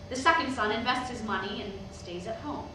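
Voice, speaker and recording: A woman's voice: a little high, lightly acted. A woman playing an eighteen-year-old preacher's daughter, nervous but defiant, giving a hesitant sermon in a staged reading. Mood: earnest, resolute. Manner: halting, hesitant public address with rhetorical questions. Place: indoor hall, recorded from the audience. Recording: very echoey hall, distant microphone, noisy.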